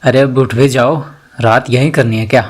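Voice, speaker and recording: A man playing a lively young university student who loves adventure, in an audio drama. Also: mid-range voice, normal speed, close to an everyday voice. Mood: casual. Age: young adult.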